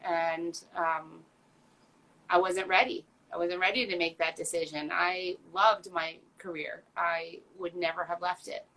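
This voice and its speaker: A woman's voice: fairly low pitch, fairly even intonation, a little slow, gravelly, sharp tone, poor audio. A woman in her fifties talking candidly as an interview guest, earnest and chatty, with frequent fillers and restarts. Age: 50s. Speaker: patient advocate guest.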